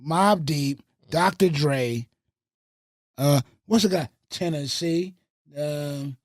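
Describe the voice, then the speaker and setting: A man from the Bronx and Fayetteville, a radio host and producer, telling animated stories on a podcast and swearing freely. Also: fairly high pitch, takes it slowly, no room sound, clean studio sound. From Bronx, New York and Fayetteville, North Carolina. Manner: animated storyteller with a lot of swearing. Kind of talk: casual podcast storytelling.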